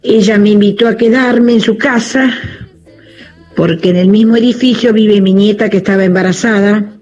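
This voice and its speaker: Husky woman in her eighties. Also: mid-range voice, moderate pace, a little mellow, soft background music. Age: 80s. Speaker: older caller. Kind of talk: recorded personal testimony. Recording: muffled phone recording.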